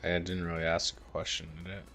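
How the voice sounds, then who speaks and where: A man's voice: sits low, completely flat delivery, fast, vocal fry, sharp tone, soft background music. A man giving casual live game commentary, muttering and thinking aloud as he plays. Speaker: game streamer.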